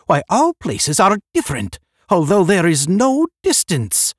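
A man voicing an excitable cosmic creature, crying out urgently in a science fiction audiobook. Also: high voice, slow, no room sound, studio quality, voice pushed up, full character voice. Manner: urgent, declamatory shouting.

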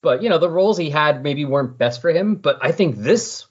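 A man's voice: on the high side, expressive, brisk, husky. A man talking casually and enthusiastically about comic books, like a podcast guest. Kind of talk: casual comic book discussion. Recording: muffled lo-fi audio.

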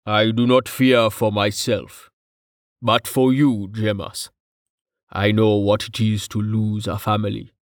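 A man voicing a stony, protective male fantasy companion with a low rumble and a grave, measured manner, in an audiobook. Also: flat delivery, no echo, clean studio sound. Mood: solemn, loyal.

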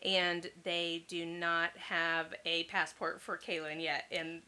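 A woman's voice: fairly low pitch, level tone, slow, pushed and bright. A woman hosting a chat podcast, chatty and teasing, often restarting her sentences. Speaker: podcast host.

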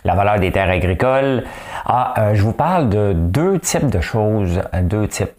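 Host, male, Quebec French accent, low voice with a lively, swinging delivery, solo chatty commentary. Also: unhurried. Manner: chatty, asks "hein?" often, joking asides. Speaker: solo online show host. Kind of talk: casual solo commentary show.

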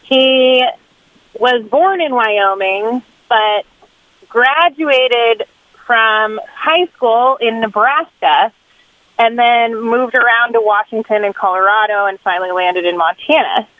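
A woman's voice: a little high, slow pace, dry recording. Brief: woman, chatty radio guest voice, laughs easily, slightly muffled and very dry. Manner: chatty, laughs often while telling things. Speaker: radio show participant. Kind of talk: casual radio chat.

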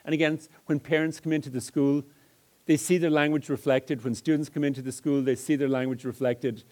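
Measured male lecturer. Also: fairly high pitch, level tone, darkish tone. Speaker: lecturer on multilingual education. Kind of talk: public lecture with examples.

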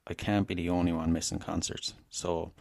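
An Irish man, a podcast guest, talking casually and telling a local legend in a relaxed way. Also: low-pitched, moderate pace, gravelly, no echo, studio quality. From Ireland (Wexford). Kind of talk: casual interview storytelling.

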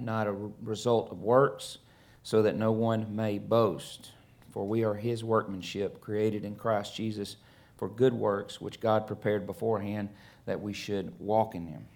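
A middle-aged man from Alabama with a Southern US accent, preaching a sermon in a conversational, halting way with many fillers. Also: fairly low pitch, darkish tone. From Alabama, USA. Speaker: guest preacher. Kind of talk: sermon with scripture reading.

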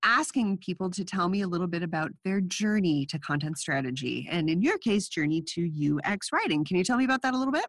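A woman's voice: lowish voice, lively intonation, fast, hardly any pauses, dry recording, clean studio sound. A woman hosting a podcast interview, a little nasal from a cold, chatty and restarting her sentences. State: has a cold, a bit nasal. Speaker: podcast host. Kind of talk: casual interview.

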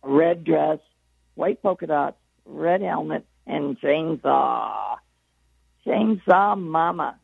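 A woman's voice: low-pitched, takes it slowly, creaky, slightly rough, no echo. A woman calling in as a gardening guest, chatting in a rambling, self-deprecating way while losing her voice. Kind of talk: casual call-in chat. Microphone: phone. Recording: tinny phone line.

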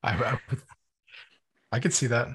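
A man's voice: mid-range voice, measured pace, a bit bright, slightly rough, dry recording. A man on a music podcast panel, chatting casually. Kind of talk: casual chat.

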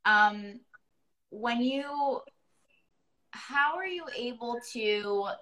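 A woman's voice: fairly high pitch, drawn-out words, bright, forceful tone, low-quality recording. A woman hosting a podcast interview, talking in an effusive, rambling, casual way. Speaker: podcast host.

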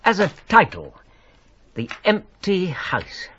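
A man acting a loyal, practical companion to a detective in a radio drama, earnest and questioning, gently exasperated. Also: sits high, huge pitch swings, slow, slightly rough, full character voice. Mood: tentative. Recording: dull radio recording, faint noise.

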